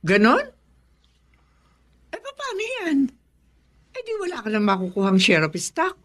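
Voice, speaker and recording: A woman's voice: a little high, wildly swooping pitch, measured pace, fairly bright tone, heavily acted. An actress with a Filipino accent playing a caring middle-aged mother in a radio drama. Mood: concerned.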